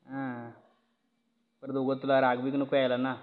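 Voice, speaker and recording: A man's voice: medium pitch, monotone, moderate pace. Muffled young Maharashtrian man, coaxing.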